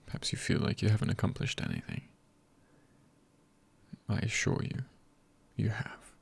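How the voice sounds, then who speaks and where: Low, very creaky hushed man doing ASMR. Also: huge pitch swings, moderate pace. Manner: hushed, often dropping into a whisper. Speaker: ASMR creator. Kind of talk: ASMR, soft-spoken encouragement.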